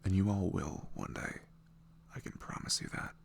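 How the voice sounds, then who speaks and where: A man with a very deep voice and some fry speaks in a hushed, gentle ASMR style. He reassures his listeners softly. There is an audible hum in the recording. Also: steady tone, normal speed. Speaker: audio roleplay creator. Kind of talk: soft ASMR message to listeners.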